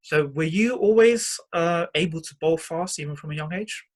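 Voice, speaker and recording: A man's voice: fairly high pitch, normal speed. A man hosting an interview, asking questions in a friendly, conversational way. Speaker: interview host.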